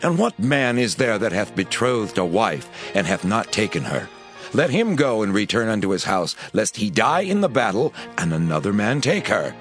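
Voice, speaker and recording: A man's voice: on the low side, big pitch swings, moderate pace, soft background music. A man playing an army officer formally addressing his troops in declamatory dramatized scripture style. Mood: stern, formal.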